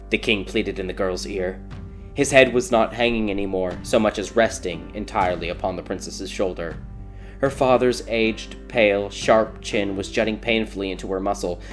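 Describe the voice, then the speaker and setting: A man reading a scripted fairy tale as a dramatic audiobook narrator. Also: on the low side.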